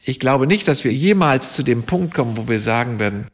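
A man in his sixties speaks with a gravelly, creaky voice, candid and reflective as he recounts his path. His words carry a long reverb from the room around him. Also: mid-range voice, animated, brisk. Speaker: estate owner, farmer and former journalist. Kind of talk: conversational interview answers.

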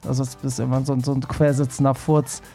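A man's voice: mid-range voice, flat delivery, fast, gravelly, mellow, breathy edge, faint music behind. A German man hosting a podcast in casual chat, a little nasal from a cold, laughing often and filling with ums. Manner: chatty, laughs often, many fillers. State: has a cold, slightly nasal. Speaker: podcast host.

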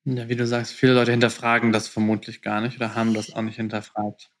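A man's voice: medium pitch, monotone, fast talker. A man hosting a podcast interview, asking casual questions in a colloquial way with some English slang.

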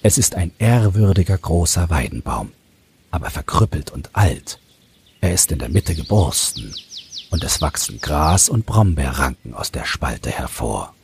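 A man's voice: extremely low pitch, big pitch swings, unhurried, darkish tone. A man narrating a scripted fairy tale as a storyteller. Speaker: storyteller and podcast host. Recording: faint background noise.

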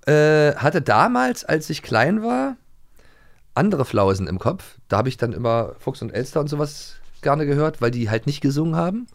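A man's voice: on the high side, big pitch swings, normal speed, gravelly, no room sound, studio quality. A man, from the former East Germany, co-hosting a podcast in a playful, rambling, jokey way. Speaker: podcast co-host. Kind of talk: casual chat.

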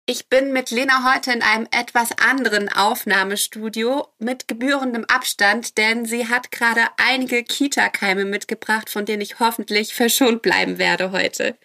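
A woman in her thirties co-hosting a parenting podcast, speaking in a clear, structured way in a recording studio. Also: fairly high pitch, fairly bright tone. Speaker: podcast co-host. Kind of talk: casual podcast chat.

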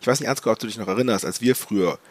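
A man co-hosting a casual football podcast, a little hoarse from a cold, rambling and hesitant with many fillers. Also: on the low side, very fast, no echo. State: slightly under the weather, a bit hoarse. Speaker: podcast co-host.

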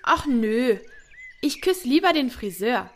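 A woman's voice: sits high, big pitch swings, slow, slight background noise, heavily acted. A woman playing a plucky, cheeky young heroine with a breezy manner in an audio fairy tale.

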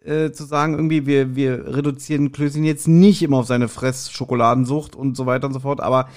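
A man's voice: mid-range voice, fairly even intonation, brisk, a little mellow. Casual, explanatory man co-hosting a podcast. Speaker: podcast co-host.

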